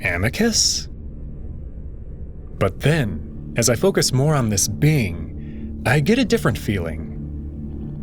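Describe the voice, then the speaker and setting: Slow, expressive male narrator over music. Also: mid-range voice. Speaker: reader voicing a first-person protagonist. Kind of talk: dramatic first-person scripted narration.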